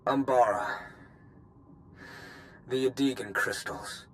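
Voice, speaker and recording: An actor playing an embittered, grieving ex-guard bent on revenge in a video game, solemn, brooding and intense. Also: male voice, medium pitch, animated, takes it slowly, slight background noise. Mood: suspicious. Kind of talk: dramatic video game cutscene acting.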